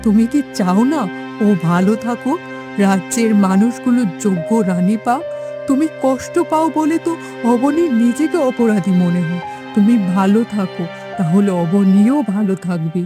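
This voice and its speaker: Middle-aged woodcutter's wife for a cartoon, female performer: gentle, earnest, consoling, over clear music. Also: medium pitch, normal speed, close to an everyday voice. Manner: soothing, earnest. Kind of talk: cartoon fairytale acting.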